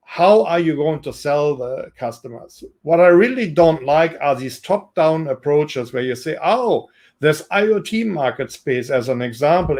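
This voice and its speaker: Man with a German accent, somewhat high voice, measured businesslike pitch-style talk. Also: darkish tone. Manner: measured, businesslike, with frequent ums. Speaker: investor and entrepreneur. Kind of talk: self-introduction to camera.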